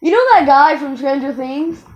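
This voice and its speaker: A woman telling casual stories to viewers in an animated, rambling way, often wandering off and correcting herself. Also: sits high, normal speed, highs cut off, a little hiss or hum. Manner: animated, digressive, self-correcting. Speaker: video creator telling stories.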